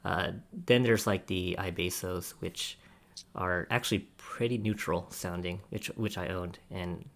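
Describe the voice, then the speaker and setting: A man's voice: deep voice, steady tone, measured pace, creaky, fairly bright tone. A man talking casually as a reviewer on a podcast panel about gear, often chuckling between points. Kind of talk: casual roundtable discussion.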